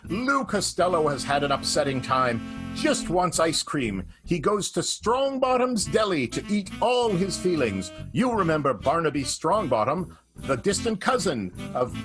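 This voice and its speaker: A man's voice: a little high, sing-song, measured pace. A man hosting and narrating an improvised radio drama with wry, dramatic announcing. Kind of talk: lively scripted-sounding improvised narration. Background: plucked guitar music.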